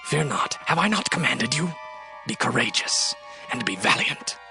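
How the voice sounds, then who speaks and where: A man playing a vengeful biblical prince in a dramatized scripture reading, theatrical and deliberate. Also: medium pitch, sing-song, gravelly, sharp tone, soft background music, heavily acted. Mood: plotting, commanding. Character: Absalom, vengeful prince, brother to Tamar, smoothly pleading and commanding.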